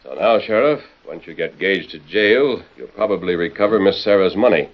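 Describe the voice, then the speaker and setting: Masked frontier hero, low pitch, formal authority, muffled old radio recording. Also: male voice, lively intonation, normal speed. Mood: calm. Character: calm, commanding male masked western hero. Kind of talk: old-time radio western acting. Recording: dull, lo-fi vintage radio recording.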